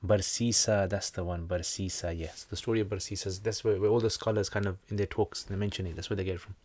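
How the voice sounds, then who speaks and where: A man's voice: low voice, steady tone, fast talker, darkish tone. A man teaching and hosting a livestream, chatting casually with viewers in a jokey, rambling way with slangy asides. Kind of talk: casual livestream chat, answering viewer comments.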